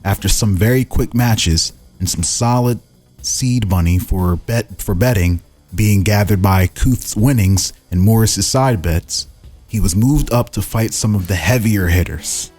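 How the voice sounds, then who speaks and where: A man's voice: on the low side, a little slow, a little mellow. A man reading a fantasy story aloud as a podcast host, in an informal manner, breaking off for casual asides. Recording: background music under the voice.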